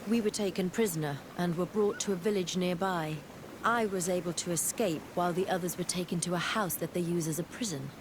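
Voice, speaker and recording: An actress playing a determined, wary scientist-explorer in a fantasy game, earnest and articulate. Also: female voice, lowish voice, fairly even intonation, fairly quick, background noise, lightly acted. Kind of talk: scripted game cutscene acting.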